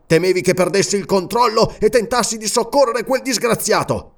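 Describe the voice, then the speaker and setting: A man's voice: fairly high pitch, very animated, normal speed, gravelly, fairly bright tone, voice pushed up, exaggerated voice. An Italian man narrating an audiobook and voicing a proud, furious barbarian king in disguise who swears vehement, declamatory oaths.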